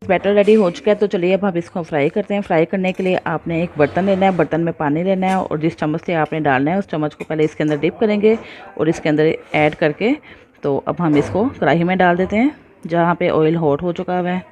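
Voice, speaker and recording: A woman's voice: on the low side, brisk, a little mellow, slight background noise. A woman hosting a home cooking video, explaining each step to her viewers in a friendly, patient way. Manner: friendly, addresses viewers often, mixes in English words. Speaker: cooking channel host. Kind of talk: step-by-step recipe voice-over.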